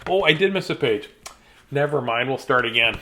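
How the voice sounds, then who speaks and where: A man in his fifties reads children's bedtime picture books in an animated, playful, childlike way, with chatty asides. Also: mid-range voice, normal speed, slight background noise. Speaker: children's storyteller. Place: small decorated room indoors.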